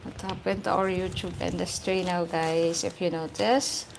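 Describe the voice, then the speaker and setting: A woman from the Philippines with a Filipino accent hosts a casual livestream, hoarse-voiced, chatting, laughing often and greeting viewers by name. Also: lowish voice, level tone, moderate pace, slight background noise. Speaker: YouTube host. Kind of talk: casual livestream chat reading viewer comments.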